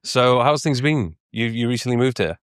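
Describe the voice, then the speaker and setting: A man's voice: medium pitch, animated, moderate pace, clear, resonant tone, no echo, clean studio sound. A man speaking casually and conversationally as the host of a podcast.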